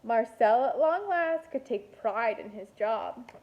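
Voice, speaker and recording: High-voiced woman reading slowly to young children. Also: muffled recording. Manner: expressive, deliberate storytelling with playful asides. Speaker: kindergarten teacher. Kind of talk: animated picture-book read-aloud for young children.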